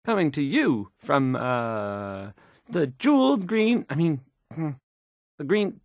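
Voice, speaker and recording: A shy young game-world radio DJ with a high voice that swings up and down. He hesitates, stammers and apologizes, fumbling slowly toward the end of each sentence. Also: big pitch swings, no room sound, clean studio sound, exaggerated voice. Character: painfully shy, nervous young male radio DJ. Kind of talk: video game radio broadcast acting.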